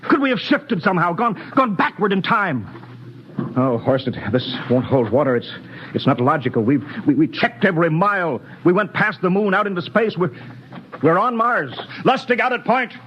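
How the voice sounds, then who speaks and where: Brief: stern space captain, fairly high male voice, clipped orders, old radio sound. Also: big pitch swings. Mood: puzzled. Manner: clipped, commanding, halting when baffled. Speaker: ship captain. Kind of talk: 1950s radio drama acting. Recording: dull 1950s radio broadcast, faint hiss.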